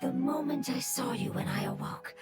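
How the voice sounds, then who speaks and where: A woman acting a sorrowful, breathy otherworldly creature. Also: high voice, lightly acted. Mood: earnest. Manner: formal, solemn, slow and deferential. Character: sorrowful, gentle contaminated creature longing for its lost homeland. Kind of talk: video game voice acting. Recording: music behind the voice. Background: game music.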